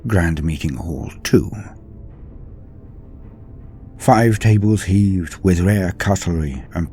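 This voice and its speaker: A man narrating a scripted story in a solemn, theatrical manner, with deliberate dramatic pauses. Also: sits low, gravelly, mellow. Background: faint music.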